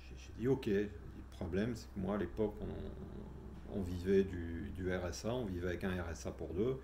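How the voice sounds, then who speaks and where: A man's voice: low voice, normal speed, gravelly, noisy background. A middle-aged man telling his personal story in a casual, hesitant way, with many fillers and restarts. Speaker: vlogger telling his spiritual journey.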